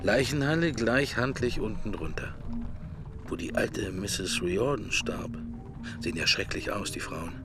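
Wry middle-aged man, inner monologue, lowish pitch, fragmented understated delivery, radio drama, music underneath. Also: expressive, measured pace. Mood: wry, reflective. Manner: fragmented, understated musing in clipped phrases.

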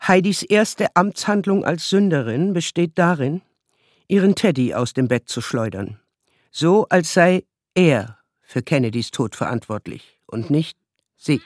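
A man narrating a scripted radio drama in a literary, deliberate storytelling manner. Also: a little high, expressive, no echo, clean studio sound.

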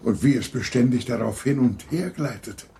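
An actor playing a courteous, effusive gentleman with a formal, gallant manner in a German radio drama. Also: mid-range voice, lively intonation, normal speed, creaky, a little hiss or hum. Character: courteous, effusive gentleman proudly showing off his view.

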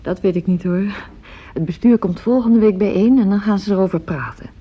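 An actress in a radio play as a polite, kindly lady of the house with a slightly husky voice. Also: medium pitch, fairly quick, close to an everyday voice. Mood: amused, chatty. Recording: dull, lo-fi old radio recording.

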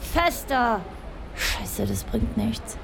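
A woman's voice: a little high, expressive, drawn-out words, gravelly, exaggerated voice. A woman playing a tough, defiant teenage girl in a radio drama, terse and insistent. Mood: desperate, demanding. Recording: noisy street ambience behind.

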